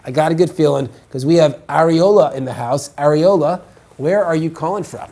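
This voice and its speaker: A man hosting an online tech pitch show, talking like an energetic salesman who repeats his words. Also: moderate pace. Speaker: show host.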